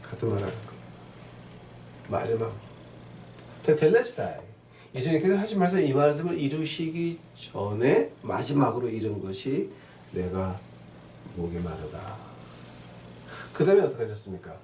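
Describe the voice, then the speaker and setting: A man's voice: mid-range voice, very animated, fairly quick, warm tone. A man preaching as a pastor, emphatic, repeating key phrases and putting questions to his listeners. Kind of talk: sermon with scripture readings and rhetorical questions. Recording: roomy echo, distant mic, faint noise.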